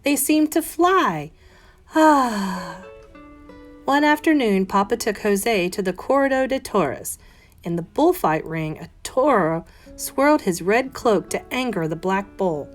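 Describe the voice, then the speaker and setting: A woman reads a children's story aloud like a classroom teacher. Her voice sits at a medium pitch, with expressive emphasis and careful phrasing. Soft plucked guitar plays behind her. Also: a little slow. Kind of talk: expressive read-aloud of a children's picture book. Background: plucked guitar music.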